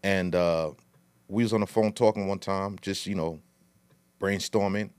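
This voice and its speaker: A man's voice: low voice, steady tone, a little slow, darkish tone, clear, resonant tone. A middle-aged man from Liberia, telling his story as a podcast guest in a casual, long-winded way with street slang. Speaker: guest, food entrepreneur.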